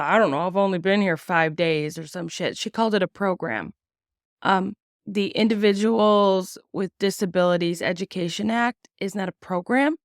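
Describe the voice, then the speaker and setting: A woman hosting a podcast, giving casual, blunt opinions on the news, swearing freely and using many ums, sounding sick and tired with a slightly raspy voice. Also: lowish voice, no room sound, clean studio sound. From United States. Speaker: podcast host.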